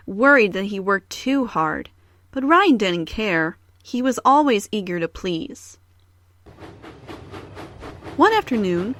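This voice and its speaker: A woman's voice: mid-range voice, a bit bright, dry recording, clean studio sound. A woman narrator reading a scripted children's story in storybook style.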